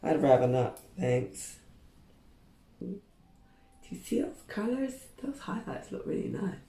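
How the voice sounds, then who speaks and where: A woman's voice: sits low, sing-song, takes it slowly, warm tone, reverberant, slight background noise. A woman in her thirties chatting candidly to camera while straightening her hair, rambling with lots of fillers. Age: 30s. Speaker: beauty vlogger.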